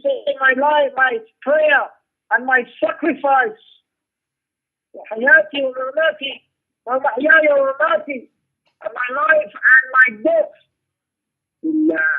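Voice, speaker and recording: A man teaching a religious class, explaining a text emphatically, repeating phrases and posing rhetorical questions. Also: extremely high pitch. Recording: muffled narrow-band radio-like sound.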